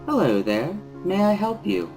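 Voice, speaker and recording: A serene, formally polite schoolgirl, put on by a man in a soft voice pitched well up. The words come measured and composed, as in a dramatic reading of a visual novel. Music plays behind. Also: sing-song, creaky, exaggerated voice. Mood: calm, polite.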